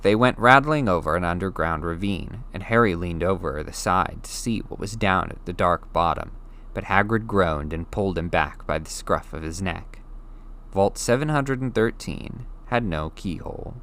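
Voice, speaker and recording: A man reading a novel chapter aloud as an audiobook narrator, with steady, clear storytelling. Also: sits low, normal speed. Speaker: audiobook reader.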